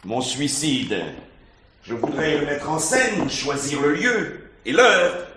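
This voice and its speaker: An actor playing a cynical, taunting husband in a theatrical, sardonic radio mystery style. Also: male voice, a little high, lively intonation, slow pace, pushed and bright, a little hiss or hum, heavily acted. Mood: sardonic, provocative. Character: cynical, morbid husband, a stage actor baiting his wife. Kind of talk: 1950s-style French radio mystery acting.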